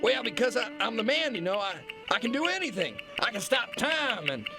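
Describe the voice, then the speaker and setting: A man's voice: very high voice, big pitch swings, fairly quick, gravelly, over loud music, heavily acted. A man playing a failing, self-important kingpin in an absurdist audio drama, guilt-ridden and rambling, stammering and repeating his words. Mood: anxious confiding.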